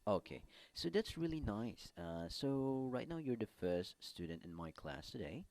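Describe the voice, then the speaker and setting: A man's voice: fairly low pitch, big pitch swings, normal speed, darkish tone, dry recording. A man teaching an English class online, patiently modelling simple answers for his students to repeat. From Philippines.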